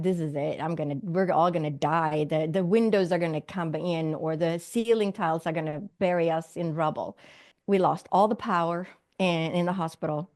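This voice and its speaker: A woman's voice: on the low side, a little mellow. A middle-aged woman from Sweden, a physician and medium, telling her story earnestly in an interview with light laughs.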